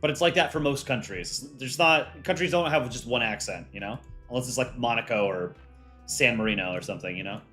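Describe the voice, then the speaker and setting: Guy streaming an art contest, chatty and offhand, mid pitched, faint music behind. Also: a little fast, bright, forceful tone. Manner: reads viewer chat aloud and answers offhand. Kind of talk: casual livestream chat.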